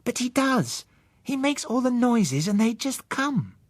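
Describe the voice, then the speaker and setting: Man voicing an insistent young girl, pitched up high. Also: big pitch swings, measured pace, no room sound, heavily acted. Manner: matter-of-fact, pointed explaining. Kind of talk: audiobook character acting.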